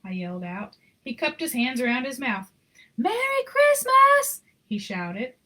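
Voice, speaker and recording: A woman's voice: on the high side, moderate pace, a bit bright. A woman reading a children's picture book aloud with dramatic, emphatic phrasing. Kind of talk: expressive children's read-aloud with casual asides.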